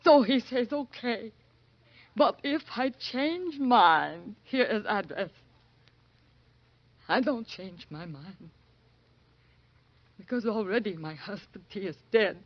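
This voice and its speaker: Slow, grieving immigrant wife. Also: female voice, medium pitch, lively intonation, no echo. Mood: grieving, fearful. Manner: halting, broken English. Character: frightened, grieving immigrant wife, plaintive and humble. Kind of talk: 1940s radio drama acting. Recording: dull vintage 1940s radio recording.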